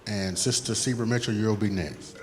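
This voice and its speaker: A man's voice: fairly low pitch, moderate pace, a little mellow, a little hiss or hum. A man acting as emcee at a live program, announcing the next performers. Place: large church hall. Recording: echoey hall, distant, crowd sounds.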